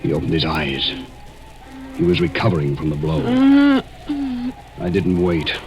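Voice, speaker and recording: An American actor playing a cold, scheming murderer who narrates deliberately and suspensefully in a 1940s radio mystery. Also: male voice, a bass voice, steady tone. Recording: vintage 1940s radio recording, music behind.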